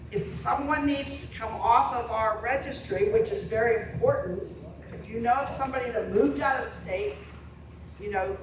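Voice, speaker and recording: Woman speaking plainly in a roomy hall. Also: fairly high pitch. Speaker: local volunteer speaker. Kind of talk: informal talk to a meeting. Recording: distant, roomy, muffled and noisy hall recording.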